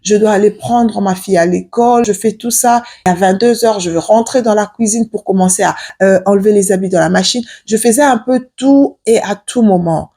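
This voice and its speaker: A woman's voice: medium pitch, warm tone. Earnest hesitant woman in her forties. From Africa. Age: 40s. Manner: hesitant, many fillers, earnest and measured. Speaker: vlogger. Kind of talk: casual advice talk to camera.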